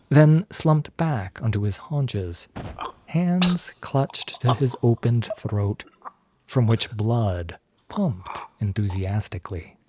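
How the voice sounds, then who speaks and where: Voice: mid-range voice, darkish tone, slight background noise. A narrator reading a scripted fantasy story in a solemn, theatrical storytelling manner.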